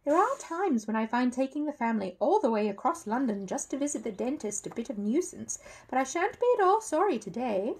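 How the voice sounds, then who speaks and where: A woman voicing a genteel middle-aged mother who chats in a bright, raised voice. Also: sits high, very animated, fairly quick, slightly rough, voice pushed up, exaggerated voice. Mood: chatty.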